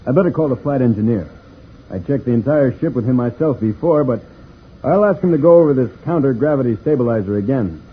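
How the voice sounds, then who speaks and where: A confident space pilot hero with a mid-pitched, animated delivery. He is patient and authoritative, heard through a narrow, faintly hissy old radio recording. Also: a little fast, breathy edge. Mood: mildly concerned. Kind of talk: 1950s radio serial acting.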